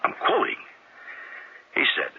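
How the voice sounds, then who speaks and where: An actor playing a persistent police sergeant who questions a witness with coaxing, halting restarts, in a 1970s radio drama. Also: male voice, slight background noise, lightly acted. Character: persistent male police detective sergeant, middle-aged. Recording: dull lo-fi old radio recording.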